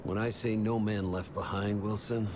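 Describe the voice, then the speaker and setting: A man's voice: on the low side, little pitch movement, moderate pace, noisy background, lightly acted. An actor playing a blunt military teammate in a video game, teasing and slangy in his delivery. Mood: wry, grudging. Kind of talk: video game character dialogue.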